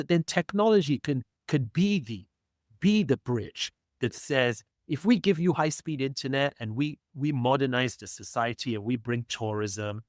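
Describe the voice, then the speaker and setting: A man's voice: medium pitch, no echo. Man, podcast guest, earnest, persuasive, mid-range voice, dry close mic. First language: not English. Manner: earnest, persuasive, repeats phrases. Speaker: guest author. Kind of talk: podcast conversation.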